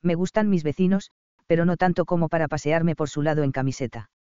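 A man's voice: fairly high pitch, fairly quick, dark tone, clear, resonant tone, no room sound, close to an everyday voice. A man voicing a sick young woman who is wry and self-mocking, read in a dull, dry recording. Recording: slightly dull dry audio.

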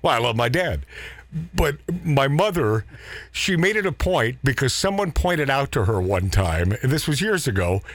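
A man's voice: medium pitch, big pitch swings, normal speed, fairly bright tone, dry recording. A middle-aged man hosting a radio show, chatting casually and telling rambling stories with chuckles. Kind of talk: casual studio chat.

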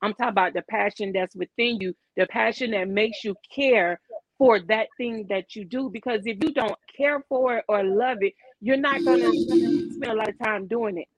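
A woman's voice: fairly high pitch, moderate pace, no room sound. A woman guest telling her story in an earnest, motivational way in a casual podcast interview. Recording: dull, dry, lo-fi recording.

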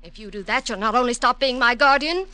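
Sharp-tongued, hard-boiled young heiress. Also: female voice, a little high, a little fast. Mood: cold, defiant. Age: young adult. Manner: scornful, clipped, tough. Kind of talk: 1950s radio drama acting.

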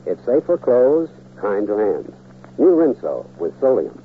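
A man's voice: mid-range voice, sing-song, a little slow. A man delivering a polished, persuasive, emphatic commercial as a radio announcer in the style of the 1940s. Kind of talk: 1940s radio advertising pitch. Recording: old tinny radio recording with hum.

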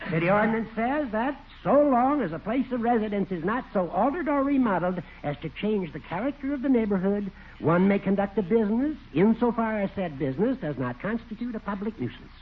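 Elderly, teasing lawyer-judge: high-pitched, legalistic, 1940s radio comedy on a tinny recording. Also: male voice, expressive, a little fast, hardly any pauses, a little hiss or hum. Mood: matter-of-fact. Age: older. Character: teasing, needling old judge and lawyer, stubbornly principled.

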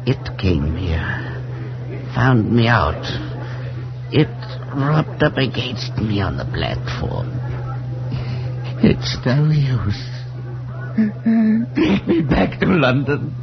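Haunted old professor, swinging wildly. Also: male voice, mid-range voice, very animated, creaky, over music, heavily acted. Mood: broken, despairing. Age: older. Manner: halting, breathless, broken phrases. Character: haunted, terrified older male professor losing his grip. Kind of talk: 1940s radio drama acting. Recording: muffled, noisy 1940s radio recording with hum.

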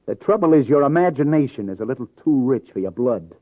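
A man's voice: medium pitch, animated, fast talker, no echo, lightly acted. An actor playing a wry, hard-boiled New police detective in a 1950s radio crime drama, with sardonic, clipped tough-guy delivery. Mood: sardonic, accusing. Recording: tinny old radio broadcast, faint music.

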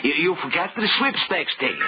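Greedy murderous schemer, swooping pitch, terse, 1930s radio drama, muffled recording, loud music behind. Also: male voice. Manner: hesitant, terse, gloating. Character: sly menacing greedy murderer, low and halting.

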